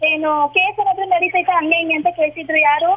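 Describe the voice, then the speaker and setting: A woman's voice: extremely high pitch, steady tone, fast talker, fairly bright tone. A woman with a Karnataka Kannada accent talking on a phone call, questioning the other speaker insistently. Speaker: prank call recipient. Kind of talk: phone conversation. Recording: very noisy phone line.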